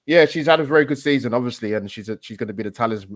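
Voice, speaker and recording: A man co-hosting a casual stream chat, talking in a hurried, hesitant way. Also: mid-range voice, no room sound, clean studio sound. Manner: rapid, hesitant, lots of fillers and restarts. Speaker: co-host.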